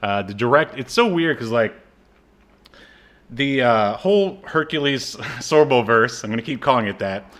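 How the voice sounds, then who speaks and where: Male podcast co-host, mid-pitched voice, casual chat, jokey, chuckling, halting delivery. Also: expressive, fairly bright tone. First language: English.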